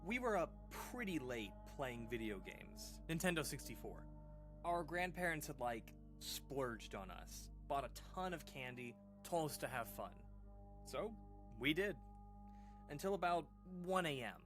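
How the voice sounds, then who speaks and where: Male actor as uneasy guy recalling a creepy childhood story for a radio horror drama; halting, casual delivery, very lively pitch, music underneath. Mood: hesitant, uneasy. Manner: hesitant, halting, informal, with pauses and sighs. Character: uneasy man recounting an eerie childhood memory to listeners. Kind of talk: audio drama monologue, conversational horror storytelling.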